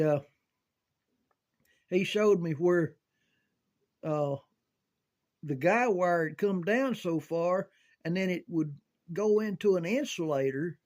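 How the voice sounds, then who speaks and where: An older man telling casual, rambling recollections in a halting, folksy way, as if thinking aloud. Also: sits high. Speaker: amateur storyteller reminiscing about CB radio.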